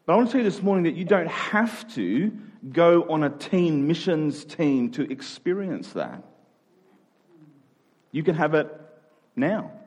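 A man with a slightly high voice delivers a sermon in a conversational way. He explains things in plain, informal words and often repeats a phrase to press it home. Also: very animated, a little slow, pauses often, darkish tone, slightly rough. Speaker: pastor preaching to a congregation. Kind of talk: sermon, conversational expository preaching.

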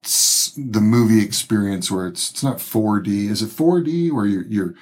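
Male podcast host, husky and a bit hoarse, casual and halting. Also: lowish voice, animated, darkish tone. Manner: halting, restarts often. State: slightly hoarse. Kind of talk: casual interview chat. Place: small room with mattresses on the walls.

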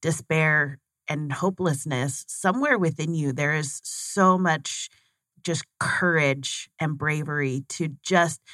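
A woman host with a notably low voice speaks earnestly and thoughtfully, drawing out her guest with encouraging words. The recording is close and dry. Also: fairly bright tone, dry recording. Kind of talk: interview conversation.

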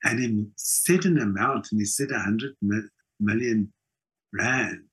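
A man's voice: lowish voice, a little slow, a bit bright, slightly rough. A middle-aged man with a South African accent, chatting casually as an interview guest and telling stories, often checking that the listener follows. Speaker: skills trainer. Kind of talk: casual conversational storytelling.

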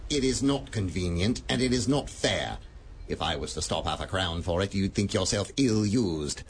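A man's voice: sits low, expressive, moderate pace, a little hiss or hum. An actor playing a miserly, sour old man who speaks in a clipped, scornful, grumbling way in a radio play. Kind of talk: community radio play acting.

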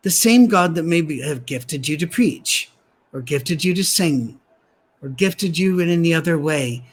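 A man teaching a Bible lesson in a soft voice, conversational and dryly humorous. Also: on the high side, animated, unhurried. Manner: dry humor, conversational asides. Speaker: preacher. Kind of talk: sermon-style Bible teaching.

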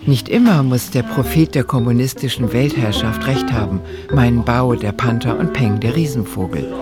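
A man's voice: mid-range voice, normal speed. Wry male documentary narrator over music. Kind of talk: scripted feature narration.

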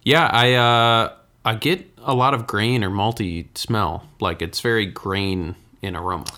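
Laid-back man with a lowish voice. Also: measured pace, bright, forceful tone. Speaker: podcast co-host. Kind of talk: casual beer-tasting podcast chat.

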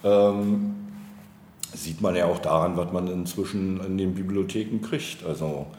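Male interviewee, political candidate type: deep, mellow voice, hesitant and deliberate, roomy sound. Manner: hesitant, deliberate, halting phrasing. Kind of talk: reflective interview answers on policy. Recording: roomy, noticeable background noise.

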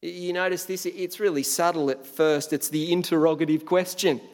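A man delivers a sermon in a relaxed, conversational way, often restarting words and filling pauses. His voice sits a little high for a man. The sound carries a long reverb, as if in a large hall. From Australia. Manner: conversational, frequent fillers and restarts. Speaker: preacher.

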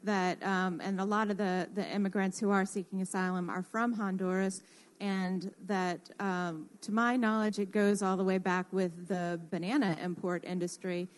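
A woman explaining things earnestly and a little haltingly in an informal radio discussion. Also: mid-range voice, fairly even intonation. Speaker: radio panelist who works in construction.

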